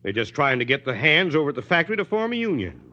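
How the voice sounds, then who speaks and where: An actor playing an easygoing, drily stubborn small-town mayor with a folksy American manner, in 1940s radio drama style. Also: male voice, fairly high pitch, big pitch swings, sharp tone. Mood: calm, wry. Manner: folksy, unhurried, drily reasoning. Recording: muffled 1940s radio broadcast recording.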